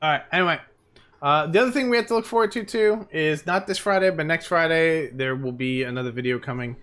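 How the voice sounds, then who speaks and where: A man's voice: on the high side, fairly quick, a bit bright, smooth. A man streaming a game live, chatting casually and rambling with plenty of fillers, tired and losing his voice.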